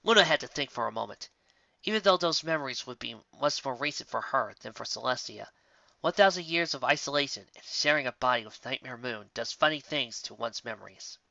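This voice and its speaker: A man's voice: mid-range voice, expressive, a little fast, vocal fry, pushed and bright, dry recording, lo-fi sound. A man reading scripted fan fiction aloud as the narrator.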